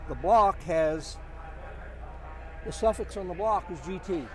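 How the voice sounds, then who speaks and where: A middle-aged or older man giving casual expert commentary as a guest, halting and deliberate, repeating words for emphasis. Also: high-pitched. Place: outdoors at a car show or auction.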